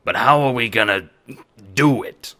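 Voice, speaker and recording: A man voicing a big, gruff prison-guard thug in an audio drama, talking hesitantly in gangster slang. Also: mid-range voice, measured pace, pushed and bright, pitched above the performer's own voice. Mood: gruff, skeptical.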